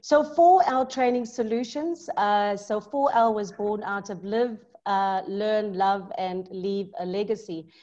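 A middle-aged woman with a South African accent speaks in a lively, emphatic, outgoing way. Her voice sits in a middle pitch. It comes through a laptop microphone on a video call, with slightly muffled, dull sound. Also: measured pace, few pauses. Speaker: maths tutor and business owner. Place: home study with bookshelves. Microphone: laptop mic on video call.

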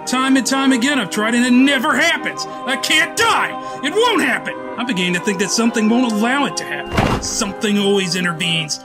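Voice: mid-range voice, expressive, a little fast, over loud music, natural voice. A performer voicing a theatrical, self-important, deranged young man who rants manically in a comic dub. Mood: brooding, sardonic. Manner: theatrical ranting, bursts into shouts. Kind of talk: dramatic comic dub voice acting.

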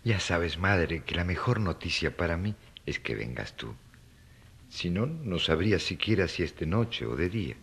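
A man playing a gentle, resigned young son in an audio drama, reassuring, with a gravelly, husky voice. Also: deep voice, big pitch swings, normal speed, exaggerated voice. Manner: soft-hearted, reassuring.

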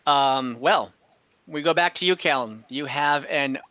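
A man's voice: a little high, unhurried, sharp tone, dry recording. A young man on a headset, acting as dungeon master and casually checking rules on a video call. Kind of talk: running an online tabletop game. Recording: dull, dry video-call audio.

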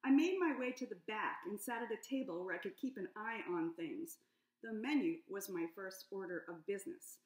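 A middle-aged woman reading her own children's picture book aloud at home, in a playful, expressive detective-story style. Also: medium pitch, normal speed. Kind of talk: storybook read-aloud narration. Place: home room with high ceiling. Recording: echoey room.

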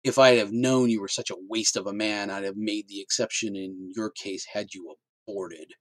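A man voicing a harsh, bullying father, low and loud. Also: fairly even intonation, moderate pace, no echo, natural voice, poor audio. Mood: contemptuous. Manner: harsh, contemptuous, commanding. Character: domineering bullying middle-aged father.

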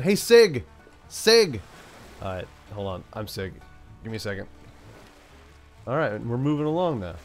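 A man hosting a gaming channel, chatting playfully through a let's-play, stuffed up from a cold and now and then yelling out excited bursts. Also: medium pitch. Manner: playful, talks to himself, yells bursts like "send it". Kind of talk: casual let's-play commentary.

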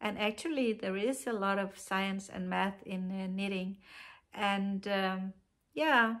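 A middle-aged woman with a Danish accent, hosting her knitting podcast from a sofa at home, talking slowly with frequent pauses and fillers. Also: medium pitch, fairly even intonation, fairly bright tone. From Denmark. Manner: slow, thoughtful, many pauses and filler sounds. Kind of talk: casual chat to camera. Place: living room, seated on a sofa.

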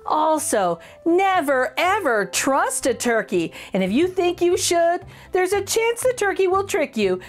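A woman's voice: very high voice, big pitch swings, unhurried, few pauses, faint music behind. A middle-aged woman hosting a channel, reading a children's picture book aloud in an exaggerated, sing-song storytelling voice.

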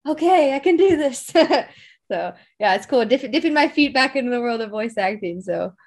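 A woman's voice: a little high, animated, normal speed. Casual woman chatting as interview guest. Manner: informal, loose phrasing peppered with like and you know.

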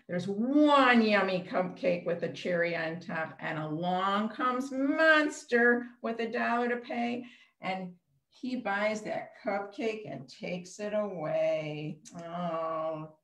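Older lady doing kids storytime, takes it slow, simple words n counting, echoey home video call. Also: fairly high pitch, a bit bright. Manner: simple, child-directed, asks counting questions. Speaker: children's librarian hosting storytime. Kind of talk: children's storytime read-aloud and counting rhymes. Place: small room at home, indoors. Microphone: computer mic on a video call.

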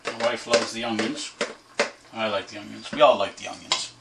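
A middle-aged man chats casually as he cooks, tossing off jokes and playful back-and-forth. He is picked up by a camera across a small, echoey home kitchen, with sizzling pans and stirring clearly audible behind him. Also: lowish voice, fairly even intonation, moderate pace. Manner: joking, offhand, bickers playfully. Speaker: trucker vlogger cooking at home. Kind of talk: casual cooking demo. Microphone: camera across the room. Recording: echoey kitchen with cooking noise.

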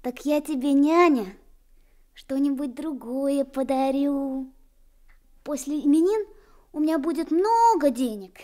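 A woman's voice: high-pitched, measured pace, mellow. An actress playing an earnest, dreamy, kind-hearted little boy in a radio drama. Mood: tender, generous. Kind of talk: Soviet radio drama acting.

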